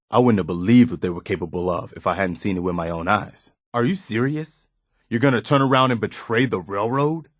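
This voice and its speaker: A man playing an earnest, idealistic, loyal militia soldier in a video game, speaking in a sincere, plain-spoken, measured way. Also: on the low side, dry recording, studio quality. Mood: serious, resolved, then shocked, reproachful. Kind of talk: video game companion dialogue.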